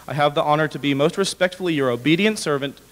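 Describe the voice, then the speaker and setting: A man's voice: on the high side, fast talker, fairly bright tone, a little hiss or hum. A man in his twenties giving a formal read-aloud of historical documents at a podium microphone in a hall. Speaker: dramatic reader. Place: large indoor hall with brick walls.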